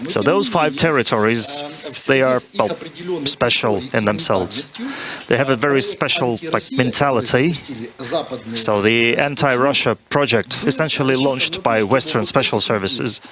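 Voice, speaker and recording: A man interpreting a guest's answers in a measured way, with small restarts. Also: mid-range voice, a crowd in the background. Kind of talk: consecutive interpretation.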